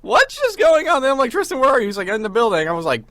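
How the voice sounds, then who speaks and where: A young man hosting a college radio show, chatting casually and slangily, with animated storytelling. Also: extremely high pitch, racing, no room sound. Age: young adult. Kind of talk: casual chat.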